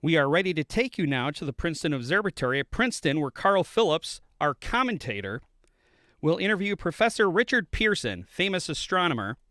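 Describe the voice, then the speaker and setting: A formal old-time radio announcer. The voice is bright and fairly high, with lively swings in pitch and a dry, close sound. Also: male voice, brisk, no echo. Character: formal male radio studio announcer handing over. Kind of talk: 1930s radio drama announcing.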